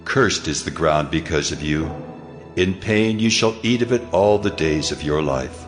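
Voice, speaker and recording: Brief: male actor as God, very low and stern, grave measured delivery, faint music under it. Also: lively intonation. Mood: judging. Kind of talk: audio drama acting.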